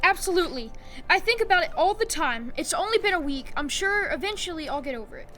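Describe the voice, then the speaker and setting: Actress as a principled young hero for audio drama, high-pitched voice, thoughtful and sincere, light music underneath. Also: female voice, expressive, fast, bright, forceful tone, exaggerated voice. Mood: wistful. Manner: earnest, reflective. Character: principled, gentle young hero, accepting of loss. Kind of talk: scripted audio drama acting.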